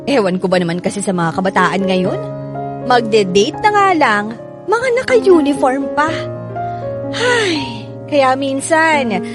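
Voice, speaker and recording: A woman with a Filipino accent playing a bitter, sharp-tongued, hot-headed young woman in a radio drama. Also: on the high side. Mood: self-righteous, grumbling. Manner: sassy, blunt, slangy.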